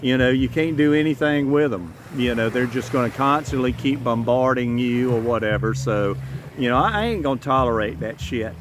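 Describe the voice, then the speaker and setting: A man's voice: medium pitch, normal speed. A man in his fifties with a Southern US accent, vlogging to a phone on a beach in a blunt, profane, rambling way, full of "you know"s. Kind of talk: casual vlog monologue. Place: outdoors on a tropical beach. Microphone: phone camera at arm's length. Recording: outdoor phone audio with clear ambient noise.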